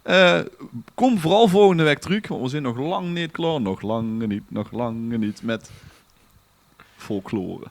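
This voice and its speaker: Limburgish guy, mid pitched, bantering on a podcast as co-host. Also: lively intonation, unhurried, vocal fry. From Limburg, Netherlands.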